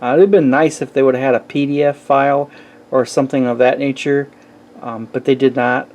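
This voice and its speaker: A man giving a casual, halting walkthrough as a hobbyist reviewer, pausing often as he goes. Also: medium pitch, fairly even intonation, darkish tone. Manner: halting, hesitant delivery with frequent pauses.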